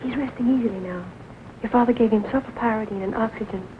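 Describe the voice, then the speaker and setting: Actress as quick-talking earnest nurse, old film. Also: female voice, on the high side, a little hiss or hum, lightly acted. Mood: relieved, admiring. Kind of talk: 1940s film drama acting. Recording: tinny 1940s film soundtrack, music behind.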